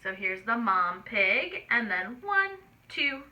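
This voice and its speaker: A woman storyteller reading aloud to small children, expressive and explaining things simply. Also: a little high, slow, dull audio. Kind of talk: children's read-aloud narration with asides.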